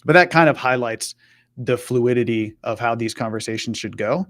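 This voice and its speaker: A man's voice: mid-range voice, normal speed, a bit bright, no room sound, clean studio sound. A man presenting on a live web show, talking through an on-screen walkthrough in an informal, conversational way and acting out example questions. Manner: informal, voices imagined customer questions as examples.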